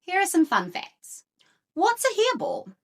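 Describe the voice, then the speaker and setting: A woman hosting an expressive read-aloud for young children, with dramatic, playful emphasis. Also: very high voice, measured pace. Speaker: storyteller and host for young children.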